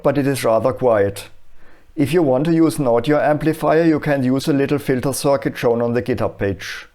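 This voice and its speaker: A man presenting an electronics tutorial in scripted, explanatory narration with a measured, instructional manner. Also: medium pitch, mellow.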